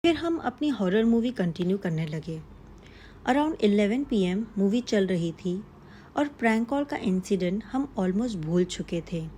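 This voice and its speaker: Woman, horror story narrator, very unhurried pace, soft dark tone, mid-range pitch, dramatic pauses. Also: expressive, a little hiss or hum. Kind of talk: scripted first-person suspense story narration.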